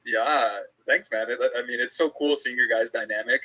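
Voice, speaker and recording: Bright, raspy male host. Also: medium pitch, fairly even intonation, normal speed, poor audio. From Toronto area, Canada. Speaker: interviewing YouTube host. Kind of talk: casual interview chat.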